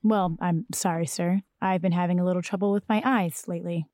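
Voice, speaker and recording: A woman's voice: on the low side, fairly even intonation, fairly quick, dark tone, dry recording, close to an everyday voice. An actress in a 1940s radio drama playing a female district attorney who questions a witness with pointed persistence. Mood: flustered.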